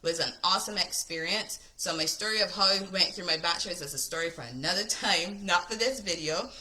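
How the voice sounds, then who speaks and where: Casting: chatty woman from Barbados, a coach, with a fairly low, bright voice. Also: brisk, a little hiss or hum, rough recording. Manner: chatty, upbeat, says "right?" often. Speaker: business coach. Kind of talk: casual talk to camera.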